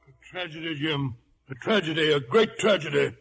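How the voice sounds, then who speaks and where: Distressed squire, slightly high voice, old adventure film. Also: male voice, expressive, normal speed, creaky, a bit bright, poor audio. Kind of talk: 1950s adventure film acting.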